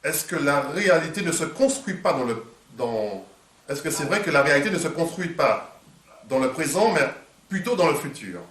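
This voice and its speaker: A man interviewing, halting with many fillers and restarts, a little stuffed up from a cold. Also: a little high, lively intonation, fairly bright tone. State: congested from a cold. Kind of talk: hesitant conversational interview. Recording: echoey room, distant mic.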